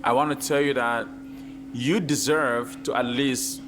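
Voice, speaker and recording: A man on a panel, earnestly addressing a woman and slowing down for effect. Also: medium pitch, steady tone, music in the background. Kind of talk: casual panel chat.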